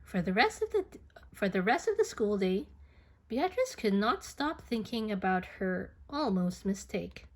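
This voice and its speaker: Female children's read-aloud narrator: unhurried, expressive, swooping pitch, dramatic touches. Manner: expressive, dramatic, with whispers and playful sound effects. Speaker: picture book reader.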